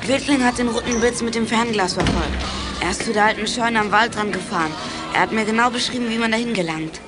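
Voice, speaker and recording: A woman's voice: fairly high pitch, fast talker, over loud music, lightly acted. A woman playing a plucky boy who leads a young detective gang in a children's radio play. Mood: eager.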